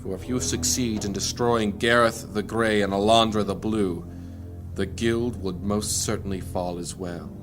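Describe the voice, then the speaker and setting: A man playing a cunning, manipulative dark servant in a fantasy audio drama, speaking smoothly and deliberately in formal, archaic phrases with quiet menace. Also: on the low side, fairly even intonation, music in the background, close to an everyday voice. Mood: gloating. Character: sinister, cunning male conspirator, coolly manipulative dark servant.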